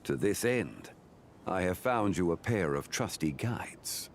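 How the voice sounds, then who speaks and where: An actor playing a calm, dignified male deity in a video game, with formal, measured, courteous diction. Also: low-pitched, heavily acted.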